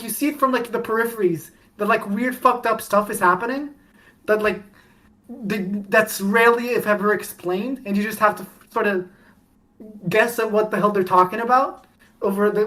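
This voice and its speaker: Rambling, excitable woman podcaster. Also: mid-range voice, low-quality recording. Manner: rambling, many restarts, quick bursts of excitement. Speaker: podcast guest. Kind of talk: casual podcast chat.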